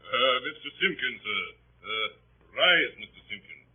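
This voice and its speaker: An actor playing a pompous, theatrical barrister orating to a jury with grand pauses, in a muffled vintage radio drama. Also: male voice, mid-range voice, expressive, breathy edge. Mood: grand, solemn. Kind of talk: 1930s radio acting.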